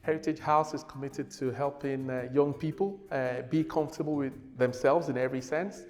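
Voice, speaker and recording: Middle-aged man, native yoruba speaker, casual relaxed explanation, lapel mic, reverberant studio, soft music. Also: mid-range voice, normal speed. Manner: relaxed, gesturing, uses fillers. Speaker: organiser of a children's heritage group.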